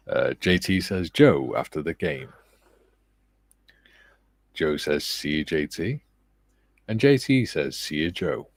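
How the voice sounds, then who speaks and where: Deep, soft-spoken man. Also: animated, slow pace, long pauses, no echo. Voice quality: soft, soothing. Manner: self-deprecating, laughs often. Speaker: livestream host. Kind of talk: casual livestream chat reading viewer comments.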